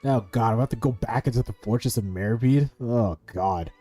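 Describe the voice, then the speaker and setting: A man's voice: mid-range voice, fairly quick, dark tone, faint music behind. A young man giving casual, slangy, sweary reactions while streaming a game. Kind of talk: casual reacting playthrough commentary.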